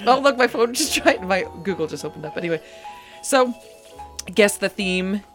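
A woman's voice: medium pitch, animated, moderate pace, faint music behind. A woman hosting a live community radio show, chatting playfully and drifting off topic. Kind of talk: casual live radio chat. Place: local community radio studio.

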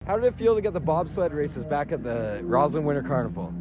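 Quick-talking male street interviewer. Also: fairly high pitch, very noisy. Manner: quick, casual questions. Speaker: reporter interviewing people. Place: outdoors at a street festival. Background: music.

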